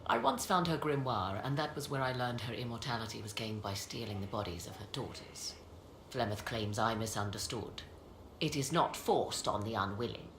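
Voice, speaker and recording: Very low-voiced, proud sorceress. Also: female voice, lively intonation. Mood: uncertain, reflective. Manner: formal, deliberate, theatrical phrasing. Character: proud, guarded sorceress, a worried mother. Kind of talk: dramatic video game dialogue. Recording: echoey, noisy game scene capture.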